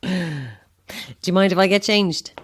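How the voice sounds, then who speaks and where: A woman's voice: medium pitch, flat delivery, unhurried, smooth, lightly acted. A woman playing a polite, drily sceptical actress who asks wary questions, in a naturalistic audio drama. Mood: dry, sceptical.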